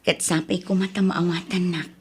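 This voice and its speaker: A woman's voice: fairly low pitch, flat delivery, normal speed, darkish tone, natural voice. A woman playing an earnest young wife. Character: young wife and mother leaving for abroad. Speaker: wife and mother.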